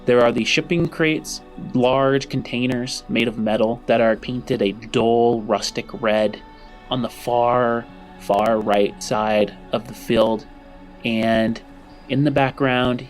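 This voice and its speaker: Male game master, medium pitch, unhurried dramatic storytelling with pauses, faint music underneath. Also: flat delivery. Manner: dramatic, deliberate phrasing with pauses. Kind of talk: narrating a tabletop roleplaying game.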